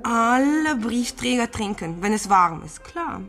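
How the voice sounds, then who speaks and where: An actress playing an irritable, suspicious wife in a radio play, clipped and sarcastic. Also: female voice, a little high, lively intonation, a little slow, a bit bright, soft background music. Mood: accusing, sarcastic. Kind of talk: radio drama acting.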